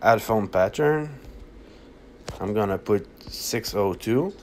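Slow, low-voiced man. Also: lively intonation, darkish tone, a little hiss or hum. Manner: halting, hesitant delivery. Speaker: app tutorial presenter. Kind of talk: casual unscripted screen-recording walkthrough.

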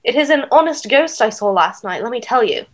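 Woman as brooding young noble, fairly high-voiced. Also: lively intonation, fairly quick. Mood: solemn, urgent. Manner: theatrical, archaic Shakespearean phrasing. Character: brooding, earnest young Danish noble sworn to avenge a father. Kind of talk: audio drama acting.

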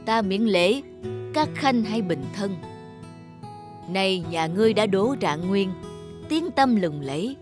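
Cartoon emperor played by a woman, commanding and formal, unhurried, with big pitch movement. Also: lightly acted. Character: stately, generous middle-aged male emperor. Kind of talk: cartoon folk-tale acting.

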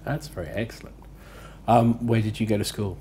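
A young man hosts a one-to-one interview, polite and eager. His voice sits a little low, and he hesitates with fillers between questions. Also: fairly even intonation, normal speed, warm tone. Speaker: interviewer, host. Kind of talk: hosted interview. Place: office room with city view window.

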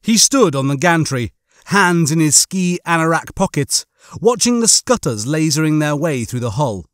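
A man's voice: a little high, lively intonation, normal speed, no room sound, studio quality. A man reading a science fiction audiobook in a theatrical, suspenseful way. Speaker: audiobook narrator. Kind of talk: dramatic scripted narration of comic science fiction.

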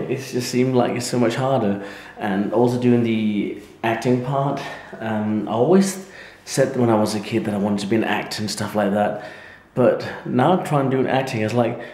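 A man in his thirties with a Danish accent, talking casually to a handheld camera at home late at night, tired and hesitant with many pauses. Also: mid-range voice, darkish tone, echoey room. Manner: hesitant, many ums, self-doubting. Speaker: vlogger. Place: dim room at home, indoors. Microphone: handheld camera at arm's length.

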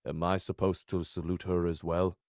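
A dutiful, slightly unsure castle guard, played by a man in a fantasy visual novel. His voice sits low and is recorded dry. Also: little pitch movement, moderate pace, darkish tone, dry recording. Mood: cautious.

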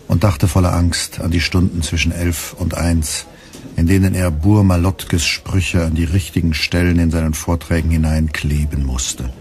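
An actor playing a weary male radio editor who narrates in a measured, precise, dry literary style. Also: low-pitched, a little mellow.

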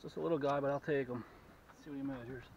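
A man in his forties hosting a fishing vlog, excitedly talking to himself as he catches and measures fish on a lake. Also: mid-range voice, racing. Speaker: fishing channel host. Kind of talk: casual fishing vlog narration. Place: small boat on an open lake outdoors. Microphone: action camera mounted on the boat. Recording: distant camera mic, dull sound, wind noise.

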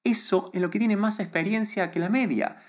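A man in his thirties from Argentina, with a softened Argentine accent, confidently advising viewers straight to camera from a small studio with a desk microphone. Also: sits high, moderate pace. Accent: neutralized Argentine Spanish. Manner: confident, emphatic, gestures a lot with his hands. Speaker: YouTube creator. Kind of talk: direct-to-camera advice talk. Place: small studio room before a plain grey backdrop. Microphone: desk microphone in front of him.

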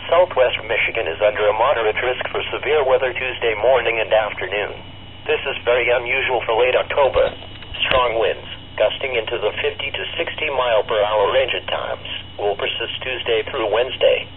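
A synthesized man's voice reading a formal, measured weather bulletin as an announcer, heard through a weather radio speaker. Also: medium pitch. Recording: tinny narrowband radio speaker, faint noise.

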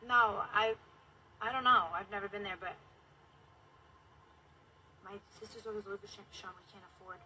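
A woman's voice: mid-range voice, fairly even intonation, moderate pace, long pauses. A woman in her thirties chatting casually and bluntly, with plenty of swearing, on a livestream from a car. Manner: blunt, profane. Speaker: livestream host. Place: inside a car. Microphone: phone at arm's length. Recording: car interior with noise.

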